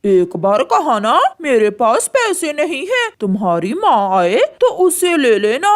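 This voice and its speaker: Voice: mid-range voice, big pitch swings, slow. An actor voicing an exasperated, defensive grown male bird in a cartoon, grumbling and protesting. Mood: irritated. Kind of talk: cartoon dialogue.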